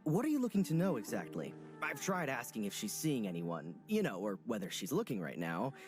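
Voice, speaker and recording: An actor playing a gossipy, lovesick young soldier who rambles theatrically, over game music. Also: male voice, mid-range voice, huge pitch swings, fairly quick. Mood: chatty.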